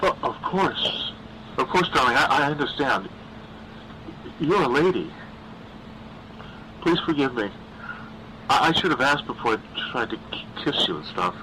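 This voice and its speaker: A man's voice: medium pitch, animated, unhurried, long pauses, noisy background, pitched above the performer's own voice, close to an everyday voice. A man voicing a lovesick, clingy undead young man who pleads and stammers in a horror audiobook. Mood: wounded, apologetic.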